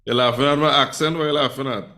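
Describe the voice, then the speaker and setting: A man's voice: mid-range voice, steady tone, rapid-fire, sharp tone. Playful man teaching. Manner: playful, teasing, chatty with students. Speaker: Spanish teacher. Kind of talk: live online class.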